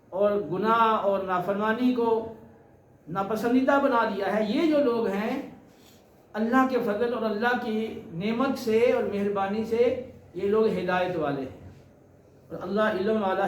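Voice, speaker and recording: A man preaching an Islamic sermon, explaining scripture in a deliberate, repetitive teaching manner. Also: high voice. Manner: deliberate, explanatory, repeats phrases. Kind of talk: sermon with Quran recitation and explanation. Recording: roomy echo with faint background noise.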